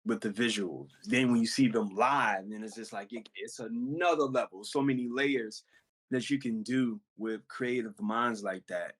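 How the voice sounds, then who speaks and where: A man who raps, answering interview questions in a relaxed, conversational way about recording music. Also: lowish voice, moderate pace. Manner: relaxed, explains with many "you know what I'm saying". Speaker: rapper guest. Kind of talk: casual interview talk.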